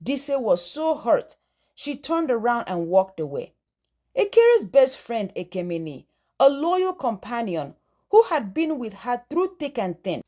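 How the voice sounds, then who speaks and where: A woman reads a folktale as scripted narration. Her voice sits high, and her pitch moves in lively sweeps as she tells the story. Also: unhurried.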